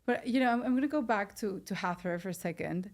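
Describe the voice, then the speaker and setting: A woman's voice: mid-range voice, fast talker, darkish tone. A woman hosting an interview podcast in a casual, chatty, informal conversational style.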